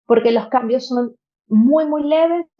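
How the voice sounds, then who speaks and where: A woman's voice: sits high, slow pace, lo-fi sound. A Venezuelan woman in her thirties, a podcast guest telling a personal story earnestly, with many fillers and restarts. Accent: Venezuelan Spanish.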